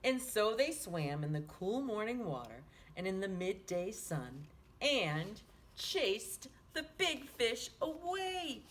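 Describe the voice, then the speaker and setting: A woman hosting children's storytime, reading a picture book aloud in an animated, sing-song manner. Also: mid-range voice, slow, slight background noise. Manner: animated, sing-song, with hushed asides to listeners.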